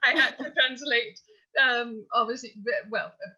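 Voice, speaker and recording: A woman with a bright, fairly high voice gives explanatory answers at a panel discussion. She speaks as a translator describing her process. The recording is a little dull and lo-fi. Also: steady tone, unhurried, slightly rough. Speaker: translator at a post-show Q&A. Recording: dull, lo-fi audio.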